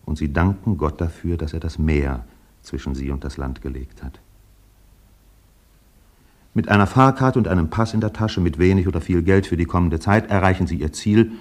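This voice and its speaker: A man playing a reflective, melancholy narrator in a literary radio play, with deliberate, solemn phrasing. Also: low-pitched, darkish tone. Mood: reflective, grave, then sombre, measured.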